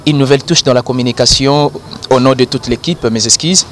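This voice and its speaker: A man's voice: medium pitch, fairly quick, slight background noise. A man presenting culture news while sick with flu and a cough, formal with frequent hesitations. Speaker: web TV presenter.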